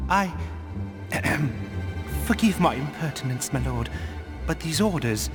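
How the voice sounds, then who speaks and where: A man playing a nervous, deferential underling in a fantasy video game, halting and apologetic before his lord. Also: a little high, expressive, natural voice. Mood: uneasy. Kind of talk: video game cutscene acting. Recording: music behind the voice.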